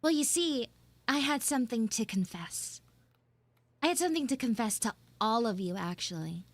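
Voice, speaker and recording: A woman live streaming an audio roleplay as a bossy, secretive tsundere schoolgirl, halting and teasing, slipping into hushed asides. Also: fairly high pitch, animated, no room sound. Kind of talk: live in-character audio roleplay with chat banter.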